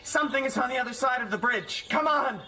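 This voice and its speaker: A man's voice: extremely high pitch, fast talker. An actor playing an earnest, worried young village man in a theatrical audio drama, speaking with urgency. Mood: urgent, alarmed. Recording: distant from mic, loud music behind.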